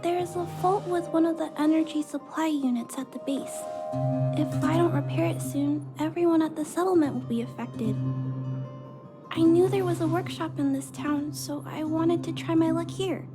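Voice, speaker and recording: A woman's voice: very high-pitched, moderate pace, music in the background. A woman voicing a timid, earnest young girl in a video game, hesitant and polite. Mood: timid, grateful. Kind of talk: video game cutscene voice acting.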